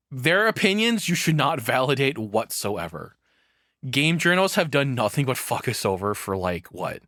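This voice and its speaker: A man's voice: fairly low pitch, pushed and bright, no room sound, studio quality. A man giving casual reaction commentary as a streamer, rambling along and swearing freely.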